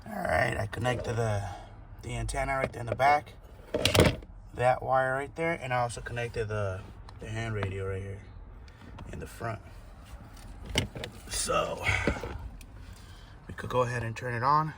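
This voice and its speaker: A man giving a casual, halting hands-on tutorial to viewers, thinking aloud as he works. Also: mid-range voice. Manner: informal, halting, thinking aloud while working. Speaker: host of a DIY car installation video. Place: in or beside a car. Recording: clear background noise, vehicle ambience.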